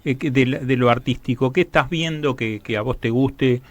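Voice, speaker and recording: A man's voice: mid-range voice, normal speed. A man with a Rioplatense Argentine accent hosting a casual radio interview in an informal, affirming way. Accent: Rioplatense Argentine Spanish.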